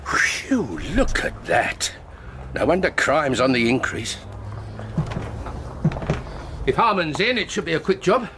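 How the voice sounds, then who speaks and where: An actor playing a pompous, sarcastic, mercenary British ex-police officer with a theatrical manner in a radio drama. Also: male voice, on the high side, sing-song, moderate pace, pushed and bright, slight background noise.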